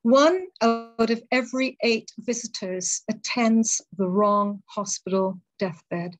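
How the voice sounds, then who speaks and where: A woman poet reading her poems aloud and talking between them, in a measured, deliberate way with pauses between phrases. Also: on the high side, muffled recording, soft background music. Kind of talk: poetry reading.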